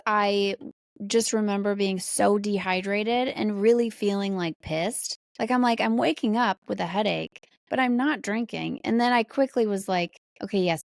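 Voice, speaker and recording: Gravelly-edged American woman, candid podcast guest. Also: medium pitch, no echo, studio quality. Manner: animated, candid, uses acted-out quotes. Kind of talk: casual conversational interview.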